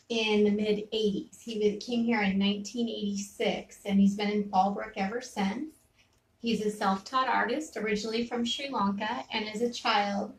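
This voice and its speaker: A woman's voice: medium pitch, a little hiss or hum, rough recording. A woman in her forties, a gallery administrator, chatting easily in an interview inside an art gallery, recorded on a phone camera. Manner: chatty, warm storytelling, reads her poetry. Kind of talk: casual interview chat.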